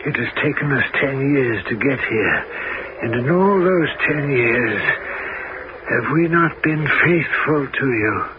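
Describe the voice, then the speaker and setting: An actor playing Amycus, a bold and greedy sailor, speaking bluntly in a 1970s radio drama. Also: male voice, mid-range voice, lively intonation, slow pace. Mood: pleading. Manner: blunt, rising to frantic shouting. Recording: dull, hissy old radio recording.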